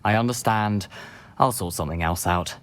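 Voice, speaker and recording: A man's voice: lowish voice, moderate pace, a bit bright, close to an everyday voice. A man voicing a cocky, persuasive schoolboy potion tinkerer in a video game, confident and wheedling. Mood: coaxing, confident.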